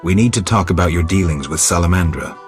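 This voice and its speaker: An actor playing a stoic, gruff monster hunter turned investigator in a video game, terse and flat in delivery. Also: male voice, low-pitched, fast, soft background music, natural voice. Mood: cool, probing.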